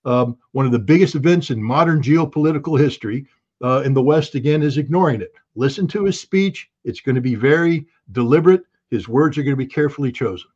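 A man's voice: mid-range voice, fast talker, darkish tone, breathy edge, lo-fi sound. A man giving blunt, emphatic and scornful political commentary as an interview guest.

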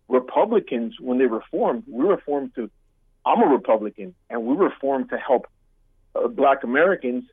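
A man born in Cuba, calling in to a radio show, speaking in an earnest, halting way that often repeats itself. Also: medium pitch, husky, no echo. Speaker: caller. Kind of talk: call-in over the phone. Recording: tinny phone line.

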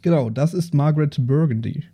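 A man casually introducing his tabletop role-playing character in a halting, informal way. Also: on the high side, warm tone, husky. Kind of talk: casual character introduction on an actual-play podcast.

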